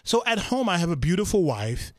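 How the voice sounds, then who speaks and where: A man hosting a casual chat, playful and quick to laugh and interject. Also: high voice, very animated, dry recording, studio quality. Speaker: talk show host.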